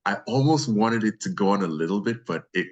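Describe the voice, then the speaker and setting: Man, deep bass voice, low-pitched, casual interview guest, effusive and appreciative. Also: expressive, brisk, highs cut off.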